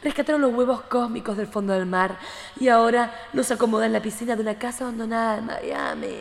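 Creaky-voiced woman narrator. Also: a little high, steady tone, fast talker, big-room sound. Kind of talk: scripted radio play narration.

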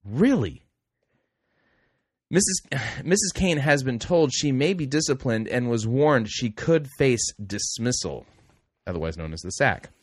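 A man's voice: mid-range voice, animated, slow pace, slightly rough, dry recording, clean studio sound. A middle-aged man hosting a talk show, reading news aloud with rambling, self-correcting, wry asides. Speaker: radio host. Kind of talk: casual talk show commentary.

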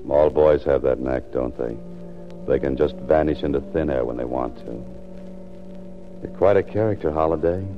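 An actor playing a world-weary, wry writer turned amateur sleuth in a 1940s radio drama, often talking to himself as narrator. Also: male voice, extremely low pitch, normal speed. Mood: wry, downcast. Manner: wry, self-addressing narration. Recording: muffled 1940s radio recording with music.

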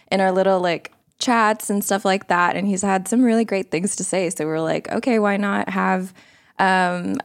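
A woman's voice: lowish voice, gravelly, no room sound, clean studio sound. A woman hosting a podcast panel in a conversational style. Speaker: podcast host.

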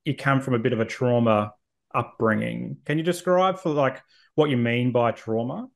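A man's voice: mid-range voice, moderate pace, vocal fry. An Australian man hosting a podcast, talking as a presenter and interviewer. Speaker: podcast host.

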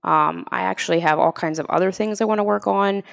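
A woman's voice: mid-range voice, fairly quick, creaky. Upbeat woman in her thirties. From Pennsylvania. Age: 30s. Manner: upbeat, eager, laughs easily. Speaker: guest. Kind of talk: casual chat.

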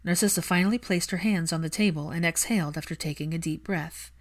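A woman's voice: lowish voice, fast talker. A woman reading scripted fiction as an audiobook narrator.

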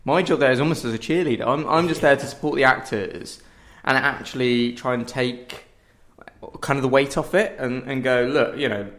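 A man's voice: mid-range voice, normal speed, a bit bright. A man talking informally as a director being interviewed, explaining his work in a conversational way. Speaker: theatre director, interview guest.

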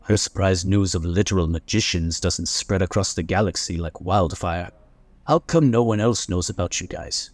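A man's voice: sits low, moderate pace, no echo. An actor playing a wary, protective father in a sci-fi audio drama, hesitant and plain-spoken. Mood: skeptical. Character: wary, protective father reluctantly parting with his son.

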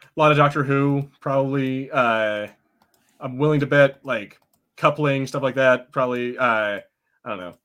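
A man's voice: medium pitch, normal speed, fairly bright tone. Casual swearing male podcast co-host. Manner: casual, swears freely, hesitant restarts. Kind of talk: casual chat.

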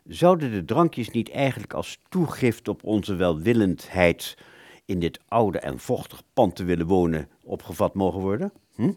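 A man plays a hard-up musician in a radio drama, eager and wheedling. His voice sits fairly low but jumps around animatedly. He speaks haltingly, teasing and coaxing. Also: animated, a little mellow, no echo, clean studio sound. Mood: sly, halting. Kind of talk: radio play acting.

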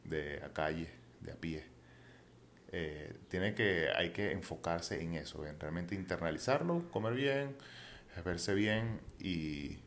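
A man's voice: low-pitched, takes it slowly, a bit bright, slight background noise. A man co-hosting a casual chat, rambling and restarting his sentences. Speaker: podcast co-host.

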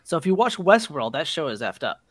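A man's voice: on the high side, big pitch swings, brisk, fairly bright tone, no room sound, clean studio sound. A man hosting a podcast in casual conversation, agreeable and often chiming in. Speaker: podcast host.

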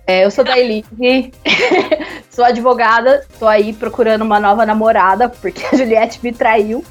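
A woman's voice: a little high, normal speed, soft background music. A woman podcast guest with a cheeky, crude joking manner. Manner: cheeky, crude jokes, laughs. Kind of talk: casual chat.

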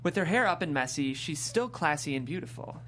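A woman's voice: low-pitched, expressive, brisk, fairly bright tone, slight background noise. A woman reading out screenplay stage directions as a narrator. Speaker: script narrator.

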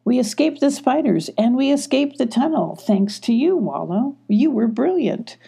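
A woman voicing a caring, excitable girl adventurer in a children's story, earnest and encouraging. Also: fairly high pitch, moderate pace, vocal fry, husky, pitched above the performer's own voice, heavily acted. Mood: admiring.

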